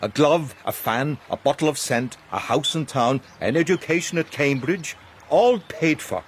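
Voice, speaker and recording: An actor playing a passionate, persuasive Irish gentleman with an Irish accent, declaiming rhetorically in a radio drama. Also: a little high, expressive, moderate pace, a little hiss or hum, natural voice. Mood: indignant.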